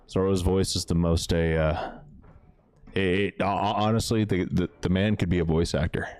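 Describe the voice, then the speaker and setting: A man with a deep, smooth voice giving casual live commentary as he plays a game, chatting away to his characters. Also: fairly even intonation, normal speed, a little mellow, dry recording. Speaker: game streamer, host. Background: music.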